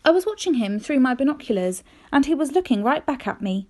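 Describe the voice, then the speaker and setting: Lady reading a kids book out loud, highish mellow voice, really expressive with dramatic emphasis. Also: a little fast. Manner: animated, careful storytelling with dramatic emphasis. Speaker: teacher reading aloud to pupils. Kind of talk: expressive children's storybook reading.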